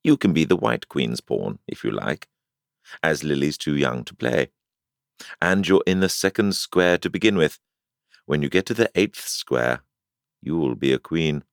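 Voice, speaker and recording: A gracious storybook chess queen, read by a man, low and creaky in voice. The manner is calm, measured and obliging. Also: no room sound, clean studio sound, exaggerated voice.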